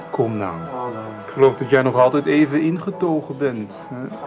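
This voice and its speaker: A man's voice: mid-range voice, sing-song, unhurried, dull audio. Oily, teasing man over music. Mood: insinuating, teasing. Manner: oily, teasing, mock-affectionate. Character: smarmy, insinuating male acquaintance, condescending womaniser. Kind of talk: Dutch radio drama acting. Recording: music playing behind the voice.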